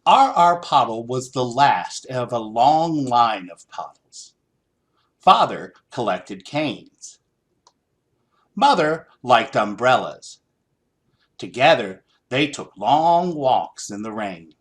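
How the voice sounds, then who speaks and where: A man reading a children's story aloud in an expressive, theatrical, deliberate style. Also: mid-range voice. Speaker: storybook reader.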